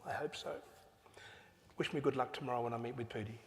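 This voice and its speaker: An older Australian man speaks on a panel with passion, throwing out rhetorical questions. His voice sits at an ordinary pitch for a man. A long reverb from a large cathedral trails after each phrase. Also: steady tone, fast talker, a little hiss or hum. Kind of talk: panel discussion.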